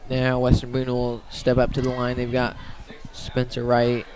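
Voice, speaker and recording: A man's voice: medium pitch, completely flat delivery, a little slow, background noise. A man giving radio-style play-by-play commentary on a football game.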